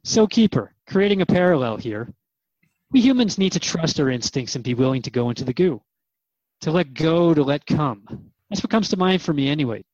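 A man's voice: on the high side, expressive, brisk, a little mellow, no room sound, highs cut off. A man hosting a radio interview program, conversational and reflective as he addresses listeners and his guest. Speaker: radio host.

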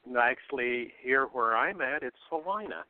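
A man in a casual group chat, speaking hesitantly and pausing to find his words. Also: mid-range voice, animated, dry recording. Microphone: remote call. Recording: thin phone-like call audio.

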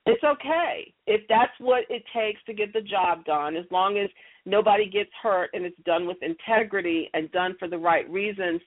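A woman's voice: mid-range voice, normal speed. A middle-aged woman giving interview answers in an emphatic way, repeating key phrases for stress. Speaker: interview guest, audio theater creator and company leader. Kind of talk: interview answers, explaining her success strategies. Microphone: telephone or call connection. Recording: muffled, band-limited phone line.

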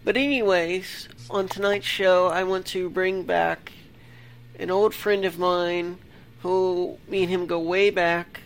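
A man's voice: sits high, level tone, takes it slowly. A man hosting his own interview show, talking loosely and rambling with fillers. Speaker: podcast host. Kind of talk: casual interview. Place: home desktop recording setup.

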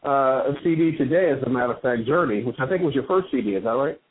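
A man hosting an interview show, talking haltingly with many fillers and compliments for his guest. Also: medium pitch. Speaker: show host. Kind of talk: interview on a call-in style show. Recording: tinny phone-line sound with faint noise.

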